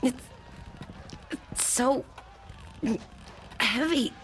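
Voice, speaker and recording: Anxious, high-voiced, fearful woman. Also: extremely slow, fairly bright tone, slight background noise, exaggerated voice, low-quality recording. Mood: uneasy. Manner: hesitant, fearful. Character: anxious, pleading woman fearing she is losing her mind. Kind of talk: television drama acting. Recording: music under the dialogue.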